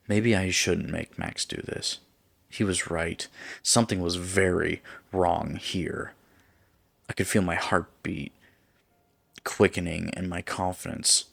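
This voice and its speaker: A man narrating a scripted first-person horror story in a measured, suspenseful way. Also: low-pitched, gravelly, slightly rough, no room sound.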